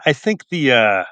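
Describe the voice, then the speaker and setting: A man's voice: medium pitch, pushed and bright, dry recording. A middle-aged man, a police officer and author, answering casually as an interview guest in a thoughtful, wry, self-deprecating way.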